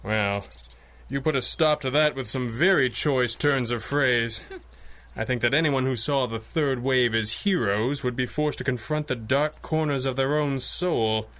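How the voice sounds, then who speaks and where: An actor playing a suave, commanding masked superhero in a 1930s-style radio drama, with crisp, formal, authoritative diction. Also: male voice, mid-range voice, a little slow, a bit bright, close to an everyday voice. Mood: warm, impressed.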